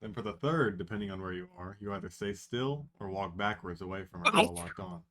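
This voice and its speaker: A man as a deep-voiced speedrunner giving tips. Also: expressive, brisk, creaky, a bit bright. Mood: explanatory. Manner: matter-of-fact guide tone, explaining game tactics. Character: calm male speedrunner giving strategy tips. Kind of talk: fan reading over voice call.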